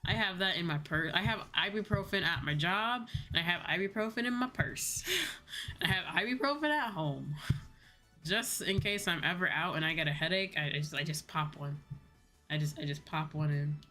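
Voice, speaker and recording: A woman speaks in a noticeably low register. She talks like a livestreamer catching up with chat, loose and rambling in a conversational way. Soft music plays behind her. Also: normal speed. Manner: rambling, conversational, casual swearing. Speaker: VTuber livestreamer. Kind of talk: casual chat with livestream viewers. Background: background music.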